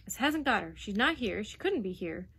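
A woman's voice: mid-range voice, very animated, normal speed, bright, forceful tone, husky, full character voice. A woman voicing a fierce, desperate young boy speaking broad dialect. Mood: defiant. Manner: stout, defiant, broad dialect.

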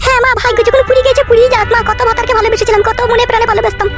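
Squeaky, aggrieved cartoon young wife. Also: female voice, fairly even intonation, rapid-fire, over music. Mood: self-pitying lament. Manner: complaining, lamenting, rustic slang. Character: aggrieved, self-pitying young village wife. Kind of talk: comic cartoon dialogue.

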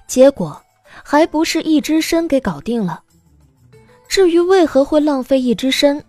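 A woman narrating an audiobook drama in an expressive, scripted storytelling style. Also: on the high side, dry recording.

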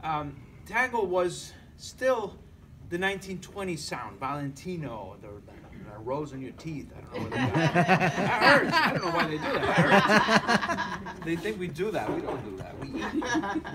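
A middle-aged man from Argentina with an Argentine accent, giving a relaxed, joking lecture to an audience and often restarting his phrases. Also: a little high, moderate pace, a loud crowd. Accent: Argentine Spanish. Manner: conversational, joking, many restarts. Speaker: musician lecturer.

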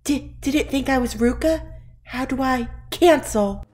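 Woman playing unsure anime teen girl, halting, bewildered, higher pitch. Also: a little mellow. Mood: confused, hesitant. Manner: hesitant, bewildered. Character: shy, unsure teenage girl in an animated film.

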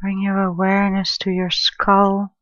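A woman guides a meditation at a very slow pace, with long pauses between gentle phrases. Her delivery is soothing and unhurried. The recording is dry and a little dull. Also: medium pitch, completely flat delivery, no echo. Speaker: meditation guide, life coach and therapist.

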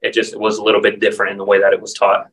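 A man's voice: lowish voice, completely flat delivery, rapid-fire, fairly bright tone. A man in his forties, a martial arts instructor, explaining his training earnestly as a guest on a video call interview. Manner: earnest, explanatory, lists terms steadily. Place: dim indoor room. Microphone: video call, standing back from camera. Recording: distant video call audio.

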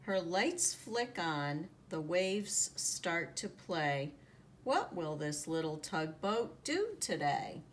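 A woman reading a children's picture book aloud in an expressive, deliberate storytelling manner, drawing out the sound words. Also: fairly low pitch. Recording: noticeable background noise, home recording.

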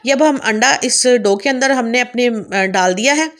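A woman's voice: a little high, moderate pace. A woman hosting a home cooking channel, patiently explaining each step and often checking in with viewers. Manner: patient, repeats "theek hai" to check in with viewers. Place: home kitchen.

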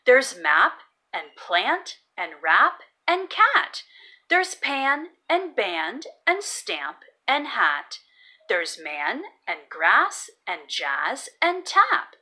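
A woman with a high, lively voice reads a children's picture book aloud. She takes it very slowly, stressing words clearly for young learners in an expressive storytelling style. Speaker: children's read-aloud host.

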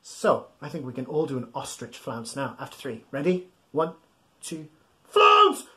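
A man in his thirties reads a picture book to small children in a slow, measured pace. His pitch swings widely as he playfully exaggerates and coaxes his young listeners to join in. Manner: animated, physically acting along. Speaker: children's storytime host. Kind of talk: interactive read-aloud of a children's picture book. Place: small indoor room at home.

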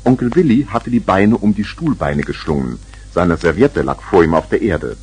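A man's voice: sits low, sing-song, normal speed, a little mellow, husky. A man narrating a scripted children's story.

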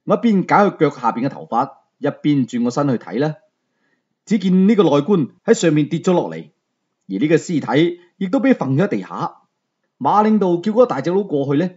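Male audiobook narrator, Hong Kong Cantonese accent: mellow tone, animated delivery, conversational first-person storytelling. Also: a little high, breathy edge, highs cut off.

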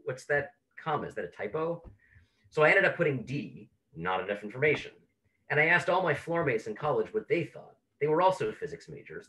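A man's voice: mid-range voice, a bit bright, poor audio. A man hosting an online talk, telling an anecdote as an introduction over a video call. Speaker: host introducing a speaker.

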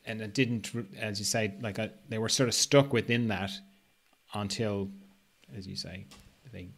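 A man's voice: lowish voice, steady tone, unhurried. A man in his sixties hosting a casual interview, musing and a little hesitant as he talks. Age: 60s. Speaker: podcast host, interviewer. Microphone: video call, desk microphone.

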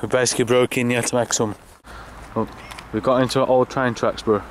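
Brief young lad, slangy vlogger, noisy outdoor camera audio. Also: male voice, medium pitch, little pitch movement, brisk. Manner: slangy, swearing, joking. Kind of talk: casual vlog narration. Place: outdoors at night near old train tracks.

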